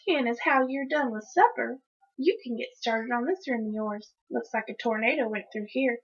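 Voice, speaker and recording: A woman's voice: a little high, normal speed, breathy edge, highs cut off. A woman voicing an exasperated, no-nonsense country mother with a bossy, folksy way of scolding, from a children's storybook. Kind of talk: children's storybook read-aloud.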